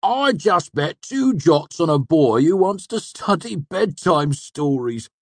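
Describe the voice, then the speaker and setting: A man's voice: on the high side, expressive, moderate pace, dry recording, pitched above the performer's own voice. A man voicing an eccentric older male student in a storybook, blunt, wry and given to teasing and grumbling.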